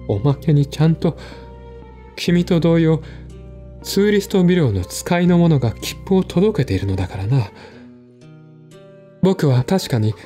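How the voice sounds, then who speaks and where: 男が演じる激しく抑揚する憤慨した男. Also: male voice, on the high side, warm tone, voice pushed up. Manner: emphatic, exasperated, educated old-fashioned phrasing. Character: agitated, indignant male host of a mystery gathering. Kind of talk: radio drama acting. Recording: music behind the voice.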